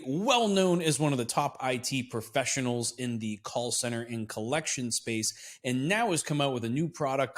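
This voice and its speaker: A man hosting an interview podcast, upbeat and friendly as he introduces and prompts his guest. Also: mid-range voice. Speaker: podcast host.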